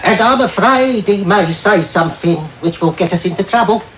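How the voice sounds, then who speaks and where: An actor playing a sly, wheedling old gang master of thieves in a radio drama, oily and menacing. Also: male voice, sits high, normal speed, a bit bright. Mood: sly, threatening. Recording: noisy, echoey 1990s medium-wave radio tape.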